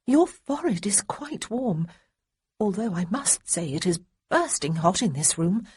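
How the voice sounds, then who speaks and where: A woman voicing Honoria, a nervous, tender young gentlewoman from a storybook romance. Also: mid-range voice, big pitch swings, breathy edge, heavily acted. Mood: anxious. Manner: fluttery, quick, softly anxious.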